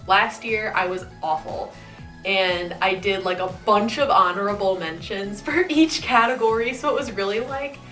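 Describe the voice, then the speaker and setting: A woman's voice: mid-range voice, sharp tone, distant mic. A young woman from the Midwest chatting enthusiastically to her camera, sounding nasal and congested from a winter cold. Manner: chatty, enthusiastic, rambling with fillers. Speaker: model horse collector and channel host. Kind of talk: casual vlog talk to camera. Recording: echoey room, voice a bit off-mic, faint music.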